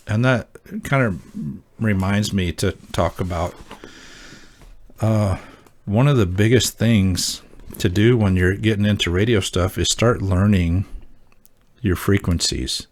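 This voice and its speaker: A man with a low voice talks informally, like a hobbyist host walking viewers through his equipment. He rambles a little and thinks aloud, keeping the explanation casual and unscripted. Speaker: host of an amateur radio hobby channel. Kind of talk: casual product demonstration and explainer.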